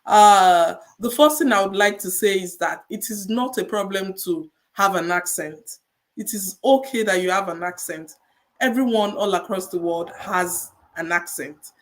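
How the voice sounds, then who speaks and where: A Nigerian-accented woman, a registered nurse, giving measured, encouraging advice in a podcast interview and repeating her key points. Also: medium pitch.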